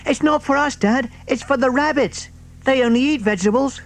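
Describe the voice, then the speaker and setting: A man reading a storybook, voicing a small, eager young fox cub in a high, chirpy voice. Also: a little fast.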